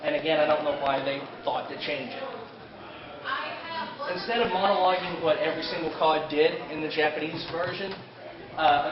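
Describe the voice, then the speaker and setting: A man giving an informal fan panel lecture at a convention, a bit halting and given to joking asides. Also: fairly high pitch. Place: indoor convention panel room. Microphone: distant camera mic. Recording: echoey convention room, distant muffled camera mic, crowd. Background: audience crowd noise.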